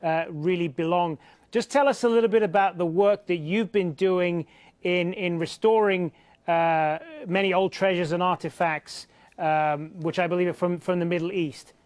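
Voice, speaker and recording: Guy hosting a tv panel show, pretty high voice, kinda loops and restarts his questions. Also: fairly even intonation, normal speed, a little mellow, clear, resonant tone, no echo. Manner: conversational, restarts and fills while posing questions. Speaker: news show presenter. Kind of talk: hosting a televised panel discussion.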